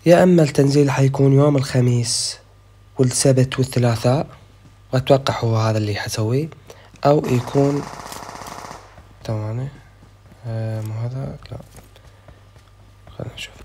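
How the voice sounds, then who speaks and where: Sick-voiced Iraqi man, gravelly. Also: mid-range voice, steady tone, fairly quick, pauses often, dark tone. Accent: Iraqi Arabic. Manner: chatty, loose, thinking aloud. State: slightly sick. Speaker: gaming channel host. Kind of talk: casual gameplay commentary.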